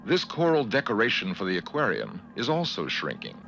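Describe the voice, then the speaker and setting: A cool logical alien officer. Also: male voice, on the low side, huge pitch swings, fairly quick, vocal fry, lo-fi sound, crowd noise, exaggerated voice. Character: calm logical male alien science officer.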